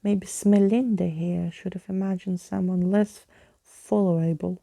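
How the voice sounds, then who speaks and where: Woman reading as sarcastic young girl, storybook character, snappy, scornful, soft mellow tone, husky. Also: fairly low pitch, steady tone, measured pace, no room sound. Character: Daisy, grumpy, sarcastic, impatient young girl.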